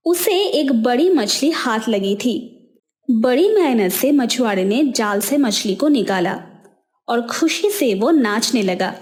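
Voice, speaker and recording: A woman narrates a scripted moral story for a cartoon. Her delivery is slow and measured, and her voice sits a little on the high side. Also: lively intonation, darkish tone.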